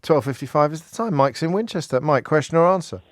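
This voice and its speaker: A man with an English accent presenting a live radio phone-in, playful and teasing, quick to interject, close on a studio microphone. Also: on the high side, expressive, no room sound, some chatter behind.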